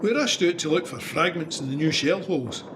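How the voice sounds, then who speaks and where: A man's voice: on the high side, lively intonation, brisk, heavy background noise, close to an everyday voice. A man playing a young Scottish soldier who recounts trench warfare in a candid, wry, conversational way for an audio drama. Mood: wry, rueful. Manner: conversational storytelling. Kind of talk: first-person audio drama narration.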